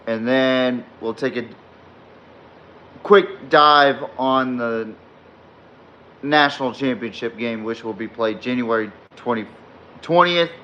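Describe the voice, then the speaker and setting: A man hosting a late-night sports podcast with a slightly hoarse voice, rambling with many fillers while reading and answering chat comments. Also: medium pitch, lo-fi sound. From Texas fan, not necessarily from Texas. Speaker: podcast host. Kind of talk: live-streamed sports podcast recap.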